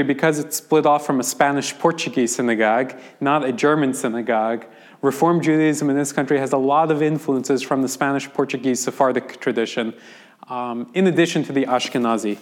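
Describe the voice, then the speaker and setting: An American man, a rabbi, giving an informal lecture to a class and explaining things conversationally with frequent ums and restarts. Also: mid-range voice. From United States.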